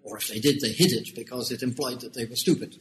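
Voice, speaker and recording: An older man with a British accent, a guest explaining research in a measured, wry way during an interview. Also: medium pitch, fairly even intonation, fairly bright tone, a little hiss or hum. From United Kingdom. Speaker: education researcher, guest author.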